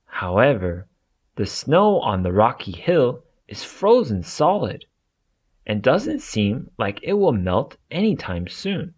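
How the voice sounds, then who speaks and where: A man narrating a children's storybook aloud in an expressive way for young listeners. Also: mid-range voice, slow pace, breathy edge.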